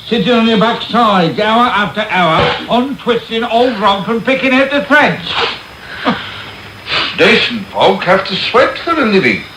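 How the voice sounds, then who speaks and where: A self-important, callous beadle from a period radio play. The voice sits fairly high and swings grandly up and down, declaiming pompously. It comes through a roomy, hissy off-air radio recording. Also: male voice, sing-song, takes it slowly, exaggerated voice. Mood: sneering, bullying. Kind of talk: period radio drama acting.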